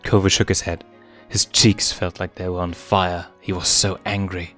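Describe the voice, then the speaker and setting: A man's voice: low-pitched, little pitch movement, normal speed, a bit bright. A man reading fan fiction aloud as dramatic scripted story narration. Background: music.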